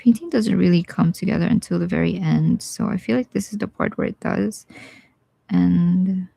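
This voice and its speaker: A young woman with a Bisaya Filipino accent who teaches watercolor live, talking casually and thinking aloud as she paints, with some quiet asides. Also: lowish voice, normal speed, vocal fry, slightly rough, dry recording. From Philippines. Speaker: watercolor artist streaming live. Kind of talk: live painting tutorial with chat replies.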